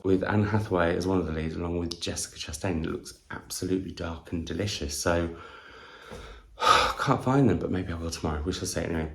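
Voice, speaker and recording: A man talks casually to camera about his book haul in a deep voice with plenty of vocal fry. He is effusive and wanders into asides, often questioning himself as he goes. The recording has an audible roomy echo. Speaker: book vlogger, channel host.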